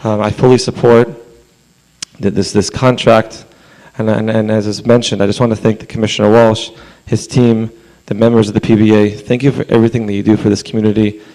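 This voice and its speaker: A man whose voice is hoarse after a long holy day speaks at a council meeting. He talks in an earnest, chatty way with fillers and asides, at a middle pitch. He is clearly addressing a room from a podium. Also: level tone, brisk, a little mellow, rough recording. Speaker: rabbi speaking at public comment. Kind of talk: public comment speech. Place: council chamber.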